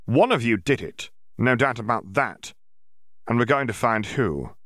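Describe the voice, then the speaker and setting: A man's voice: mid-range voice, animated, unhurried, fairly bright tone, dry recording, clean studio sound, voice pushed up. A man doing a smug, sneering Auror chief. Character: smug, brusque middle-aged male Auror chief.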